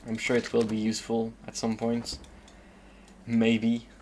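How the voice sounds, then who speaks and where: A man's voice: mid-range voice, completely flat delivery, a little slow, a little mellow, slight background noise. A man from the southern suburbs of Paris with a French accent, casually commenting while playing a game and thinking aloud with lots of hesitant fillers. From southern suburbs of Paris, France. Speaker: streamer.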